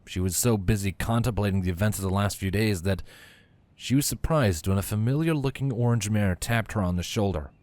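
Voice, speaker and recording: A man with a fairly low voice narrates a piece of fan fiction. He reads from a script as a storyteller. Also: fairly quick, a little mellow.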